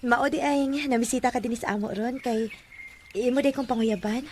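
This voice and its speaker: An actress with a Cebuano accent playing a demure, cautious young woman being courted in a radio drama, soft-spoken and reserved. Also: fairly high pitch, fairly even intonation, moderate pace, background noise, close to an everyday voice. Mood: shy, hopeful. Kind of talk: Bisaya radio drama acting.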